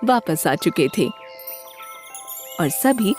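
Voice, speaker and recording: A woman narrating a scripted children's story in an expressive storytelling manner. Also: lowish voice, drawn-out words, soft background music.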